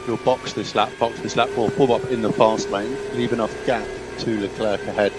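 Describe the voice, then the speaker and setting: A man's voice: fairly low pitch, steady tone, normal speed. A man working as a race engineer, giving clipped, repeated instructions over motorsport team radio. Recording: noisy car team radio.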